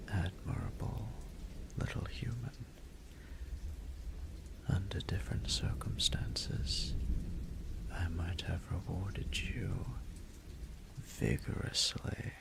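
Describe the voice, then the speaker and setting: Deep, gravelly, imperious dragon ruler. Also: male voice, exaggerated voice. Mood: intrigued, condescending. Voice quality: breathy, gravelly half-whisper. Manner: haughty, formal, deliberate. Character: proud, imperious male dragon ruler, seductively menacing. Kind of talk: scripted romantic audio roleplay.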